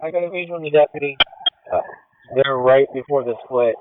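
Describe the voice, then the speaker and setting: A man's voice: mid-range voice, brisk. A man working as an emergency responder, giving terse reports over a two-way radio. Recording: tinny narrowband two-way radio traffic.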